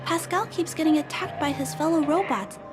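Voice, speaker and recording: A woman voicing a gentle, earnest young girl who speaks softly and politely, in a game cutscene with music. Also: high voice, brisk, lightly acted.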